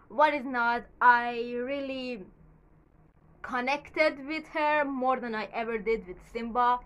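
A young woman whose first language is Farsi rambles through a casual chat, full of fillers and restarts, with a slightly nervous edge. Her voice sits a little high and sounds bright and clear. She is recorded in a small bedroom on a camera at desk distance. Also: highs cut off. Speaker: YouTuber. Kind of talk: casual movie commentary.

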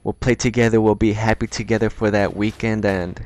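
A man's voice: fairly low pitch, level tone, fairly quick, darkish tone. A man with a Mexican accent giving casual, rambling, slangy commentary over his gameplay.

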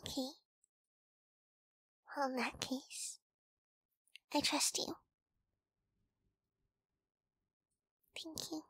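A woman playing a timid, sweet, wary young wolf girl in an ASMR roleplay, speaking softly and hesitantly, often in whispers, close to the microphone. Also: high voice, fairly even intonation, slow pace, dry recording. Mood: doubtful, then trusting. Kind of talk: ASMR girlfriend audio roleplay.

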